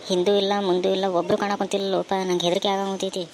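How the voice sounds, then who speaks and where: A woman's voice: medium pitch, little pitch movement, very fast, a little mellow, slight background noise, close to an everyday voice. A woman voicing a timid, easily frightened young male traveller in an amateur cartoon, with an anxious manner and a North Karnataka Kannada accent. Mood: scared.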